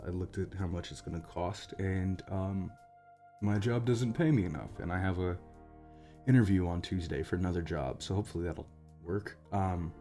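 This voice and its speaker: American man, deep voice, casual outro chat, rambling, hesitant, self-deprecating, sweary. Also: darkish tone. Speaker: voice actor, channel creator.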